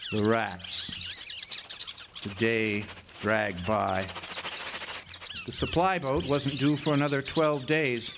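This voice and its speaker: A man's voice: medium pitch, fairly even intonation, slow pace, long pauses. A man playing a haunted lighthouse keeper who tells his story in a radio drama, dramatic and stammering over words. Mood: haunted, urgent. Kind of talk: radio drama first-person narration. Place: outdoor amphitheater stage. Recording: noisy outdoor live stage recording, birdsong.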